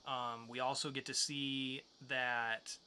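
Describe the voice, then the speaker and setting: Guy doing casual podcast review chat, really bright forceful voice, bit of background noise. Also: medium pitch, flat delivery, slow pace. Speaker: podcast co-host reviewing comics.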